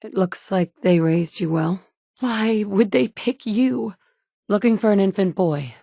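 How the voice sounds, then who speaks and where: A woman's voice: mid-range voice, unhurried, creaky, mellow, no echo, studio quality. A woman voicing a determined young mother who searches a ruined world for her kidnapped son, blunt and dryly sarcastic, recorded as video game dialogue. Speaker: player character voice actress. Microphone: studio mic.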